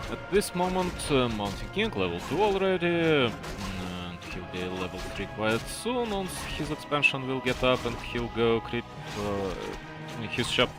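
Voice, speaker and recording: Hesitant low-voiced man commentating over music. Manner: hesitant, self-correcting, muttering asides, repeats words. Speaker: streamer and match commentator. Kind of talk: live esports commentary, rambling casual talk.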